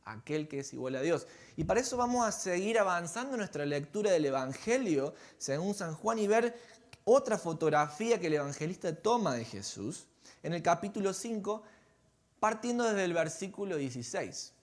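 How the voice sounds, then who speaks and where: High-voiced Argentine pastor preaching. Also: male voice, sing-song, moderate pace. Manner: conversational, engages congregation with questions. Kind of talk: sermon. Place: church sanctuary.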